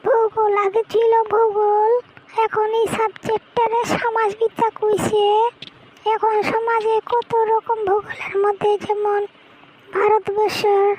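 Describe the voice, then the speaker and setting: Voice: extremely high pitch, monotone, measured pace, a little mellow, slight background noise, low-quality recording. A performer voicing an earnest young schoolgirl in a comic cartoon, giving rambling answers. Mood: eager, rambling.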